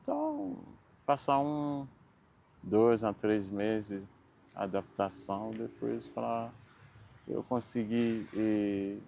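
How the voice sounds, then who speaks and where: A man with a Haitian accent, telling his story haltingly and searching for words. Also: fairly low pitch, sing-song, vocal fry, warm tone, slight background noise. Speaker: interviewee. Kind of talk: documentary interview.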